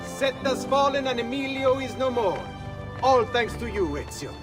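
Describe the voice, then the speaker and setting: A man's voice: very high-pitched, very animated, normal speed, music in the background. A man playing a spirited, grateful leader of thieves with an Italian accent in a game. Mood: triumphant. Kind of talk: cinematic game acting.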